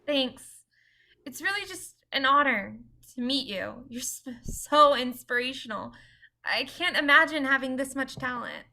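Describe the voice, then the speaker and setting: A woman's voice: sits high, animated, measured pace, bright, forceful tone, exaggerated voice. A woman playing a starstruck, modest young female film director in a staged play reading, gushing and a little hesitant. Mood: starstruck, eager.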